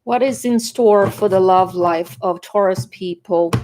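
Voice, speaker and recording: A woman's voice: medium pitch, unhurried, noisy background. Brazilian-accented woman reading tarot. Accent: Brazilian Portuguese. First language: Portuguese. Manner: chatty, frequent "okay?" tags and fillers. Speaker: tarot reader.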